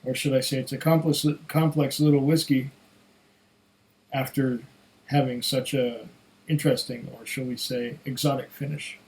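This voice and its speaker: A man with a slightly hoarse voice giving a casual solo tasting review, musing in an unhurried, savoring way and talking to himself. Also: medium pitch, little pitch movement, a little mellow, low-quality recording. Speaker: whisky reviewer.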